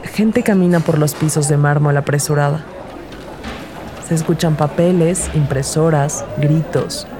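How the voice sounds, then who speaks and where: A woman's voice: sits low, unhurried, noisy background. A woman playing an earnest rookie detective who narrates her case in a scripted audio drama. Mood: measured, narrating. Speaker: narrator and lead character.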